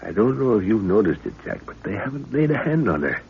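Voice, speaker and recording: A man acting a big, gruff, blustering middle-aged professor in a 1930s radio adventure. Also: fairly low pitch, big pitch swings, fast, a little hiss or hum. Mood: grumbling concern. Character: huge, gruff, hot-tempered older professor leading a jungle expedition. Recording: muffled old 1930s radio recording.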